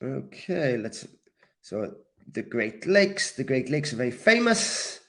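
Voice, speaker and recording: A man from Wales teaching a live English class, deliberate and encouraging, repeating key words for learners as he responds to comments. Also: mid-range voice, very animated, creaky. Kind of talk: live grammar lesson, reacting to comments.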